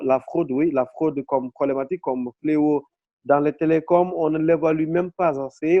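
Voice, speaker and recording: A man's voice: on the high side, no room sound. Formal male interview host, dull sound. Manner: formal, measured, with frequent fillers.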